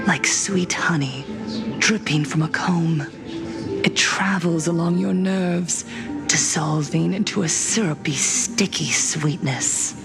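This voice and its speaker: A haunted young woman from a video game, eerie and theatrical. Her words come slowly and breathily, with loud music playing behind her. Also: fairly low pitch. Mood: dreamy, eerie. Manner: slow, breathy, theatrical, dreamy delivery. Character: traumatised young woman turned eerie, soft-spoken masked media mogul. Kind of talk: scripted video game voice acting.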